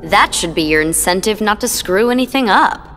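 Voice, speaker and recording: A woman voicing a sadistic, sweetly cruel young villainess in a video game, taunting with drawn-out mock sympathy. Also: mid-range voice, expressive, a bit bright, soft background music, lightly acted. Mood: scolding, coaxing. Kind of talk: video game cutscene acting.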